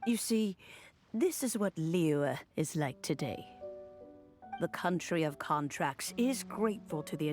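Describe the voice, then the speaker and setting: A poised noblewoman who rules a city in a game speaks slowly and graciously. Her voice sits low and moves with lively lilts. Game music swells behind her. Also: full character voice. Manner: measured, gracious and commanding.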